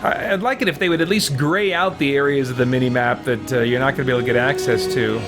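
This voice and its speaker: A man plays a fantasy RPG and comments casually as he goes. He thinks aloud and exclaims at what the game gives him, with music playing clearly behind his voice. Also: mid-range voice, quick pace. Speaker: gaming video host playing a fantasy RPG. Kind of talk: casual let's-play commentary.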